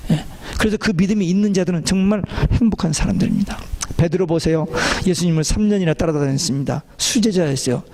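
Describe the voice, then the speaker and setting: A man's voice: on the high side, mellow. A man preaching a church sermon as a pastor, exhorting listeners and putting questions to them.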